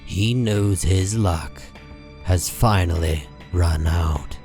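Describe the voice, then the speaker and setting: A man narrating a comic book story in a grave, measured, dramatic style. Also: deep voice, darkish tone. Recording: music under the voice.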